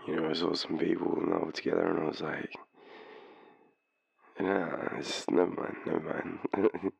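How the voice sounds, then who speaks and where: A man's voice: low voice, level tone, darkish tone, no echo, heavily acted. An Australian man playing a tipsy, shy, lovestruck young guy phoning his crush in an intimate audio roleplay, hesitant and rambling. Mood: nervous, tipsy. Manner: hesitant, rambling, halting, breathy chuckles. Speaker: voice actor in boyfriend roleplay. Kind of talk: intimate audio roleplay, one-sided phone call.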